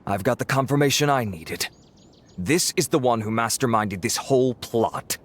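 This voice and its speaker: Man playing a measured, composed investigating officer. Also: mid-range voice, natural voice. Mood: firm. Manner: measured, dry, matter-of-fact.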